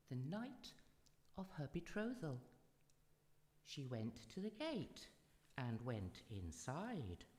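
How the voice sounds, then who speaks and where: A woman narrating a scripted fairy-tale radio drama in a dramatic storytelling manner. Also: very deep voice. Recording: echoey hall, music behind.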